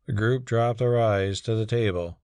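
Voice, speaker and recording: Guy narrating an audiobook story, lowish voice, dry close sound. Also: moderate pace, darkish tone, clean tone, no room sound. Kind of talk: scripted dramatic narration.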